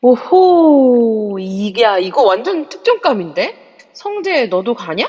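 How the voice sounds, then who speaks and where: A woman's voice: a little high, sing-song, takes it slowly, a little mellow, slightly rough, exaggerated voice. A woman playing an eager young scoop-hunter. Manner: eager and skeptical. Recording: dull reverb-heavy online audio.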